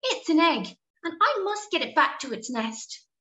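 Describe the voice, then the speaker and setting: A woman's voice: very high-pitched, big pitch swings, normal speed, pushed and bright, voice pushed up, full character voice. An Irish woman voicing an earnest, determined young female puffin chick in a storybook, exclaiming insistently. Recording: muffled, echoey room recording.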